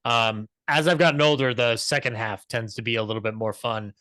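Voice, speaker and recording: Young male podcast panelist, bright forward voice, dry close sound, chatty and enthusiastic. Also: mid-range voice, steady tone, fairly quick, no room sound. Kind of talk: conversational show commentary.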